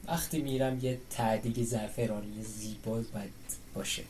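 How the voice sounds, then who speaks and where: A woman's voice: extremely low pitch, steady tone, racing, a little mellow, slight background noise. A woman streaming a game with casual commentary and wry, teasing asides.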